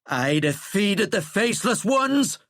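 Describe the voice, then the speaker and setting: A man's voice: lowish voice, flat delivery, fairly quick, fairly bright tone, clear, resonant tone, no echo, studio quality, natural voice. A man voicing a furious, petulant, self-important American president in an audiobook, blustering and defensive. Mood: indignant. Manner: blustering, defensive, prone to screeching. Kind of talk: audiobook character acting.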